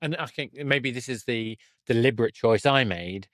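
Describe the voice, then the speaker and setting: A man hosting a casual podcast interview, speaking in a halting way with broken-off starts. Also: medium pitch, fairly bright tone, no room sound.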